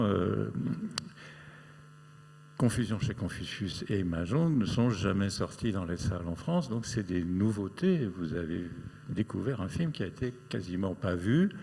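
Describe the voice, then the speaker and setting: A man's voice: deep voice, vocal fry, dark tone. A man moderating a festival panel, thoughtful and searching for his words as he introduces the topic and the guest. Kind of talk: panel discussion. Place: festival screening hall. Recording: long hall reverb.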